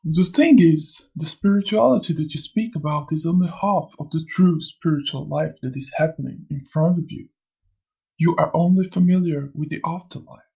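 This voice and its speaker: A man voicing a gravelly, sly old spirit. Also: a little high, moderate pace, muffled recording. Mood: preaching. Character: sly, amused old male spirit who preaches and taunts. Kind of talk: scripted motion comic dialogue.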